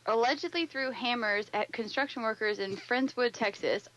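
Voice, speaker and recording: Female co-host, high, animated, blunt, hissy recording. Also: normal speed, highs cut off. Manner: blunt, swearing, disgusted reactions. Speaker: podcast co-host. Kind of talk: casual chat, reading news stories.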